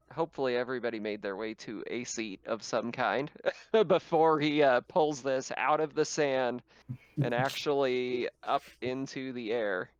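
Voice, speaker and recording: Smooth-voiced man running a tabletop game. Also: mid-range voice, no echo, muffled recording. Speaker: game master. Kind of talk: improvised game narration and rules talk.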